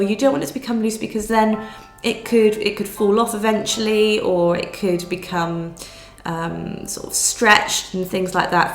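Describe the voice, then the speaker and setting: A woman, nasal and croaky from a cold, patiently talking through a needle felting tutorial step by step. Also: mid-range voice. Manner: patient step-by-step explaining with fillers. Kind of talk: craft tutorial voice-over. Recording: roomy sound with soft music behind.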